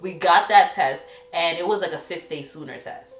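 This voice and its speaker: A young woman chatting casually as co-host, telling an animated story and acting out what people said. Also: fairly low pitch, moderate pace. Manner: animated storyteller, acts out quotes. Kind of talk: casual couple chat. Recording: echoey room with music behind.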